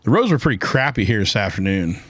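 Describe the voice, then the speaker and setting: A man hosting a casual podcast, trading quick jokes with his co-host. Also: lowish voice, big pitch swings, fairly bright tone, no echo, studio quality. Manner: quick joking banter. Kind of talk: casual chat.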